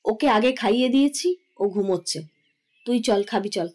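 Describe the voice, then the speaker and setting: High-voiced fond, teasing mother played by a woman. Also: moderate pace, darkish tone, lightly acted. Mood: motherly. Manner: scolding fondly, theatrical. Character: affectionate, teasing middle-aged mother and aunt. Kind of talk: Bengali audio story acting.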